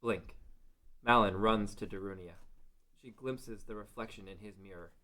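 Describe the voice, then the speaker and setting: A man's voice: lowish voice, normal speed, pushed and bright. A man reading dramatic scripted narration for a fantasy story as its narrator.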